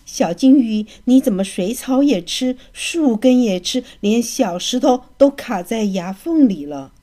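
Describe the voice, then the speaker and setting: A woman's voice: fairly high pitch, lively intonation, a little slow, dark tone. A woman voicing an old whiskered male catfish doctor who sounds weary. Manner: sighing, weary.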